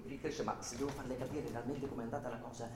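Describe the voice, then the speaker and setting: An actor playing an anxious, over-polite bank clerk on trial in an Italian radio drama, agitated, insistent and deferential. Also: male voice, mid-range voice, steady tone, very fast, crowd noise, natural voice. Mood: excited, explaining. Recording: roomy, distant stage sound with music.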